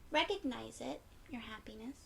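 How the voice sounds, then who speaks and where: A woman reading a picture book aloud to young children in a gentle, encouraging way, speaking directly to them. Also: fairly high pitch, moderate pace, creaky, a little hiss or hum. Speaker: children's read-aloud storyteller.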